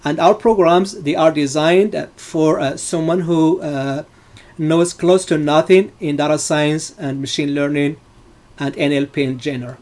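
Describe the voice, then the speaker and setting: A man's voice: a little high, steady tone, measured pace, darkish tone. Moroccan-born man lecturing with many fillers. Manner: many fillers, loose phrasing. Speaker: data science instructor. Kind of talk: live online lecture.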